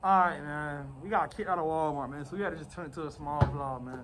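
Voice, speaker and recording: A man's voice: on the high side, fairly even intonation, quick pace, over loud music. A young man hosting a casual vlog in slangy, teasing banter, recorded on location. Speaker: vlog host. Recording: noisy handheld location audio.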